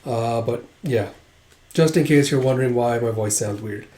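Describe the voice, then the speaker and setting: A man with a hoarse voice from a cold, hosting a manga read-through channel and chatting casually, rambling with many fillers. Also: mid-range voice, normal speed, dark tone. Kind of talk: casual chat to camera. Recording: roomy echo.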